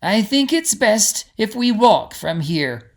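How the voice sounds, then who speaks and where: A man voicing a protective male guide with a measured, careful, reassuring manner and formal wording, in a storybook reading. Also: sits high, very animated, voice pushed up, full character voice. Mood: forced cheer. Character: calm male guide, protective, forcing a cheerful tone.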